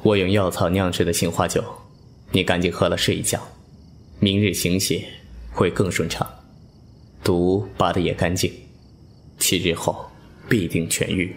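Casting: male actor as calm wuxia healer, deep voice, very level delivery, caring and matter-of-fact, for audio drama. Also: moderate pace, pauses often.

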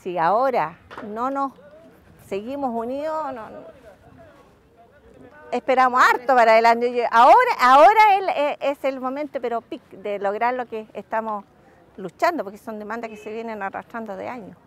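A middle-aged Chilean woman speaking spontaneously in an outdoor interview, halting and repeating words. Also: fairly high pitch, lively intonation, a little hiss or hum. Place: outdoors near a building. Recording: faint outdoor ambience.

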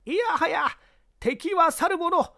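A woman's voice: extremely high pitch, takes it slowly, gravelly, bright, forceful tone, dry recording, studio quality, voice pushed up, exaggerated voice. A woman voicing an eager, talkative male junior newsman in an audiobook drama. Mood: excited. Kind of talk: audiobook character acting.

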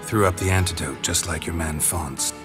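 An actor playing a stoic, laconic monster hunter in a video game, terse and deadpan with dry humor. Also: male voice, deep voice, moderate pace, over music, close to an everyday voice. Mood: matter-of-fact. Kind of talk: video game dialogue acting.

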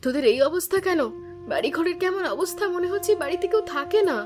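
A woman's voice: extremely high pitch, expressive, fairly quick. A woman voicing a kindly, forgiving middle-aged village auntie in a cartoon, with a motherly, caring manner. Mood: concerned. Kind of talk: Bengali cartoon voice acting. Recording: music behind the voice.

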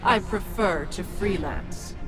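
A woman's voice: medium pitch, takes it slowly, loud music behind the voice, lightly acted, low-quality recording. A woman voicing an ancient, wicked demon witch in a video game, taunting and haughty. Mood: menacing, taunting. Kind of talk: video game villain acting.

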